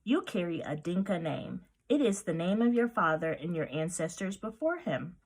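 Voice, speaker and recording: A woman's voice: on the low side, very animated, normal speed, low-quality recording. Wise elderly storybook man, read by a woman, kindly and solemn, reassuring counsel, varied intonation. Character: wise, kindly old man in a refugee camp.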